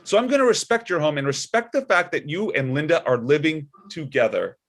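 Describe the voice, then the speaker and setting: A man playing an awkward, earnest widowed father and church deacon in a comedy script read, speaking haltingly. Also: fairly high pitch, expressive. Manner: hesitant, halting.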